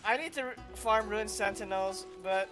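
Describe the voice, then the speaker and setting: A woman's voice: mid-range voice, moderate pace, over music. A woman giving casual live commentary on a video game as a streamer, with wry, teasing asides.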